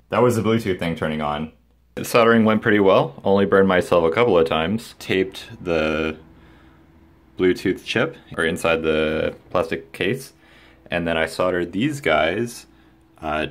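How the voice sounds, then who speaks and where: A man talking casually and enthusiastically to viewers as he hosts a hobby project vlog, recorded indoors at home. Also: sits low. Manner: informal, enthusiastic. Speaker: DIY video maker, host. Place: indoors, small home room.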